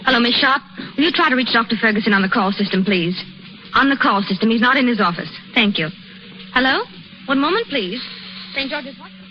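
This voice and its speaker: A woman playing a brisk, polite hospital switchboard operator in a 1930s radio drama, clipped and efficient. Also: on the high side, animated, close to an everyday voice. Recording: dull, hissy 1930s radio recording.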